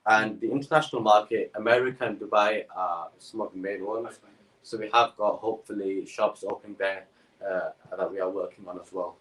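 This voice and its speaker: Male guest, lower voice, hesitant delivery, distant echoey remote call. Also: fairly even intonation, fairly quick, fairly bright tone. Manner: hesitant, many fillers and repeats. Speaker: perfume business owner. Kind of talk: guest answering interview questions.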